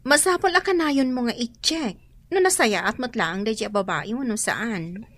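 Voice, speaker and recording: A woman's voice: fairly high pitch, animated, moderate pace, fairly bright tone, no room sound. A woman playing a kind, deferential secretary in a radio drama. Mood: concerned. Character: kind, deferential female secretary, attentive to her boss.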